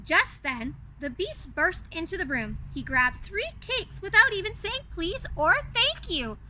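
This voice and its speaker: A woman reading a storybook in an animated, gushing way, coaxing young listeners with direct asides. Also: very high-pitched, a little slow, slight background noise. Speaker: children's storyteller, reader in a princess character.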